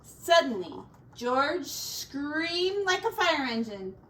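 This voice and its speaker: A high-pitched woman reads a picture book aloud for young children, taking her time over the words. She draws out descriptions dramatically and drops in playful asides. The microphone sounds a little distant. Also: big pitch swings, slow, fairly bright tone. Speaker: teacher reading a storybook to children.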